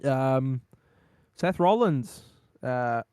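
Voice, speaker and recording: A man co-hosting a podcast and chatting casually, rambling with lots of ums, his voice going hoarse. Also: mid-range voice, lively intonation, very slow, a little mellow, no echo, studio quality. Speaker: podcast co-host. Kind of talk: casual wrestling recap chat.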